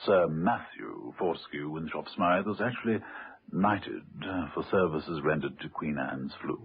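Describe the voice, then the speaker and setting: An actor playing a cheerful, courtly, posh British chimney sweep with flowery, hesitant speech in a muffled radio play. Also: male voice, extremely low pitch, lively intonation, unhurried, full character voice. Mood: jovial, affable. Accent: upper-class British. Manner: flowery, plummy, genteel and hesitant. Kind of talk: radio drama acting. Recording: muffled old radio recording.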